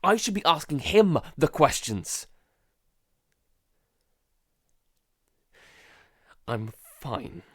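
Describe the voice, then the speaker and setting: A man playing a frightened, traumatised survivor in a halting, dramatic audio-drama monologue. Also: fairly high pitch, big pitch swings, fairly bright tone. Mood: irritated, defensive. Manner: halting, stammering, broken by sighs. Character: frightened, traumatised male survivor keeping a monster-apocalypse audio diary.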